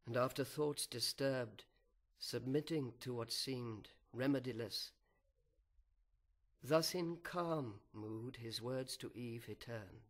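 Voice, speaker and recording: A man narrating epic verse in a solemn, measured, literary reading style. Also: medium pitch.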